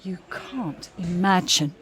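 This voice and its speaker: A woman's voice: fairly low pitch, lively intonation, slow pace, slight background noise. A woman playing a proud, imperious singer from France in an audio drama, speaking in a grand, exacting, self-assured manner. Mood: proud, dramatic.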